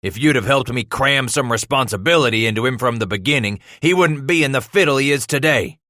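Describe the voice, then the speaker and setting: A stern, gruff frontier patriarch played by a male narrator thunders through a historical audiobook. His speech is folksy and forceful, with a bright, hard edge and a dry, close sound. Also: on the low side, normal speed, dry recording. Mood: furious, thundering. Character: angry domineering frontier father, gruff and stern.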